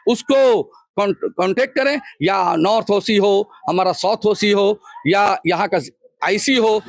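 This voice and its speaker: A man with a high, husky voice makes a public appeal to an interviewer. He is insistent and repeats himself, and the recording is dry with little room sound. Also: no room sound. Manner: insistent, repetitive. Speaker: local man appealing for calm.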